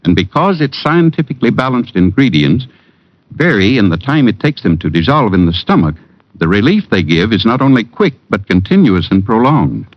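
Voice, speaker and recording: Low-voiced, animated vintage radio pitchman. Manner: measured, formal pitchman delivery. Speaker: radio commercial spokesman. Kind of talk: 1940s scripted radio advertisement. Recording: muffled old radio recording.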